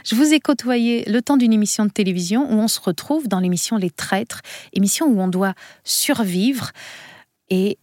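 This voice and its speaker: A woman radio host at a mid-range pitch leads the conversation with courteous, complimentary questions. Her voice sounds close and dry. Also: expressive, normal speed, a little mellow, no echo. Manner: polite, complimentary, guiding questions. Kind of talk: radio interview.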